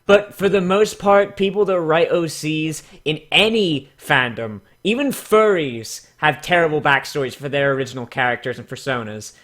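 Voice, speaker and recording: A man hosts a comedic online show in a sharp, bright voice that sits a little high. He talks casually and sarcastically, swears freely, and reads aloud with theatrical mock drama. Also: normal speed, few pauses. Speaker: YouTube host.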